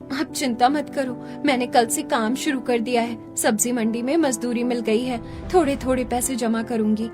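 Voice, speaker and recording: A woman acts out a poor, hardworking mother in a cartoon drama. Her voice is on the high side, earnest and pleading. Light music plays behind it. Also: steady tone, normal speed, lightly acted. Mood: tender. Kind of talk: animated story dialogue.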